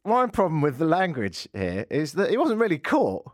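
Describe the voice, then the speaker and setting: A man's voice: a little high, wildly swooping pitch, normal speed, no echo. A man hosting a comedic radio show, rambling through sardonic asides into a studio mic. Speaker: radio host.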